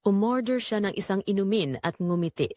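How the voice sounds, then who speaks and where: A woman's voice: medium pitch, lively intonation, brisk, mellow, no echo, close to an everyday voice. A woman playing a struggling single mother, weary but hopeful, narrating a confessional first-person audio drama to her listeners in an emotive way. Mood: reflective.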